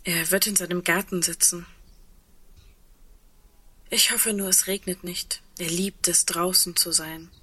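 An actress playing a wry, resigned, reflective woman in a radio drama, with a husky voice and dry humor. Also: fairly low pitch, fairly even intonation, unhurried, long pauses, bright, forceful tone, heavily acted. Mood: calm, resigned. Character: tall, wry, resigned woman insolvency administrator facing doomsday. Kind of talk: radio drama dialogue acting.

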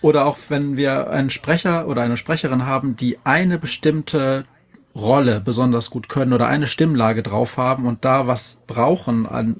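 Guy running a relaxed podcast chat, ordinary mid-range voice. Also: medium pitch, moderate pace. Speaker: podcast moderator. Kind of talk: casual group podcast talk.

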